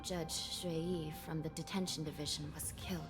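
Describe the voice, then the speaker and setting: An actress playing a solemn, dutiful judge in a video game, speaking formally and with reserve. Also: female voice, sits low, steady tone, moderate pace, lightly acted, poor audio. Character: solemn, dutiful female judge of an underworld commission. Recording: loud music behind the voice.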